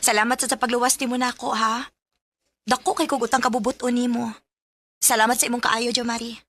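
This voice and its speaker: A woman's voice: fairly high pitch, fairly even intonation, normal speed, pauses often, a bit bright. An actress playing a sweet young female college student in a Filipino radio drama. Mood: sweet, grateful.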